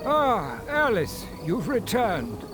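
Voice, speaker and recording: An actor playing a wise, weary old oracle creature in a video game, grave and admonishing. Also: male voice, sits high, wildly swooping pitch, slow, music in the background, full character voice. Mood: solemn, admonishing. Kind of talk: scripted video game dialogue.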